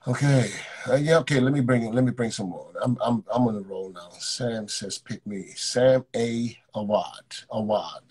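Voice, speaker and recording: A man's voice: medium pitch, moderate pace, hardly any pauses, a little mellow, husky. A man hosting a live video chat from a hotel room, blunt and joking, often repeating words as he talks. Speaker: coach and live stream host. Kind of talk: casual live stream chat with callers.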